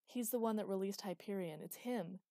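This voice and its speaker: A woman voicing a grieving young woman who speaks softly but with quiet command. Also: medium pitch, fairly quick, no room sound, clean studio sound, lightly acted. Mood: tender, grave.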